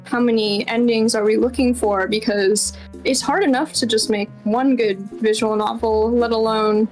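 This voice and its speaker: High-voiced woman chatting over music. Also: steady tone, moderate pace, darkish tone, low-quality recording. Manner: chatty, informal, many "like" and "you know" asides. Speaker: game developer and writer, interview guest. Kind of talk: casual interview answers.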